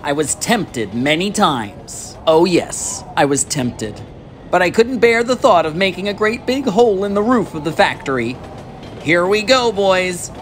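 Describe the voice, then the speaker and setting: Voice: mid-range voice, lively intonation, a little slow, a little hiss or hum, pitched above the performer's own voice, natural voice. A storybook reader voicing an eccentric, cheerful man who urges others on with exuberant exclamations. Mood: eager. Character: eccentric, cheerful, gleefully hurried man, a chocolate factory owner.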